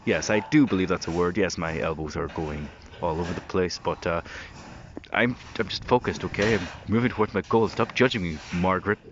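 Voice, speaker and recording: A man's voice: sits low, fairly quick. A man doing voice-over commentary with dry, self-mocking humor. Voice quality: husky. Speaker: vlogger. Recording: dull lo-fi voice-over with noise.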